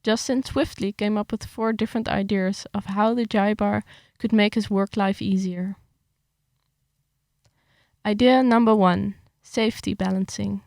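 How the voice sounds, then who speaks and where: A woman's voice: mid-range voice, measured pace, creaky, no room sound, studio quality. A young woman talks casually about her article, with some hesitation, then reads it aloud steadily. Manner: hesitant with fillers when chatting, steady when reading. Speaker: writer reading her article.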